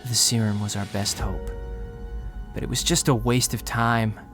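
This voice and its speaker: An actor playing a poor, anxious young man who narrates his own story in a quiet, melancholy, self-critical way. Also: on the low side, steady tone, a little slow, music in the background, natural voice. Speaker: narrator and lead character. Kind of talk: first-person audio drama narration.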